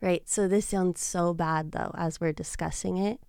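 A woman's voice: fairly low pitch, fairly even intonation, moderate pace, a little mellow, clean tone, no echo, clean studio sound. A woman in her thirties, a podcast guest telling dating stories in casual chat, laughing often. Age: 30s. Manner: giggly, storytelling.

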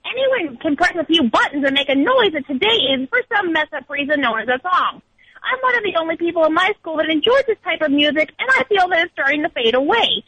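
A woman's voice: very high voice, bright, forceful tone, no room sound, low-quality recording. A young woman caller chatting casually and reading comments aloud, somewhat hesitant in manner. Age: young adult.

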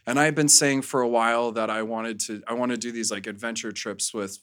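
A man's voice: mid-range voice, fairly even intonation, fairly quick, a bit bright, clean tone. An American man talking casually as a guest on a podcast. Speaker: podcast guest, adult film performer. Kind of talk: casual chat.

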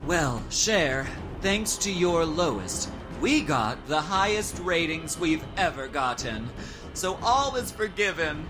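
A man's voice: a little high, sing-song, slow. A man playing a pompous, ratings-obsessed drag competition host in a campy comic radio play, announcing with grand melodrama. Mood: breezy. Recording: music under the voice.

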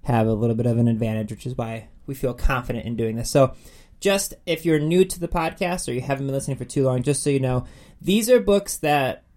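A man's voice: medium pitch, a little fast, darkish tone. A man co-hosting a podcast in a casual, chatty, self-deprecating way about books. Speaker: podcast co-host. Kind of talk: casual book chat.

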